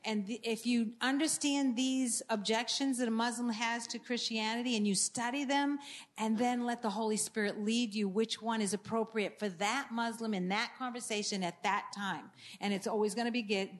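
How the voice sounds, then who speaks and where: Woman from Maine, earnest church presenter, slightly high voice, halting delivery with fillers. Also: fairly even intonation. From Maine, USA. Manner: earnest, with many fillers and restarts. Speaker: ministry speaker promoting books. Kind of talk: church announcement talk.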